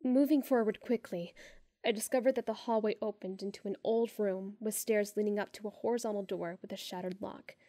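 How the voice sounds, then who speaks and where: A woman narrating a first-person story in a measured way, sinking to hushed tones in tense moments. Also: medium pitch, fairly even intonation, no room sound, clean studio sound. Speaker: audiobook narrator.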